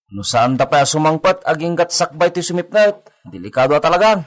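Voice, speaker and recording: A man plays a village henchman in a radio drama. His voice is high for a man, and he sounds worried, cautious and reluctantly obedient. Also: very animated, brisk. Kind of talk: Ilocano radio drama acting.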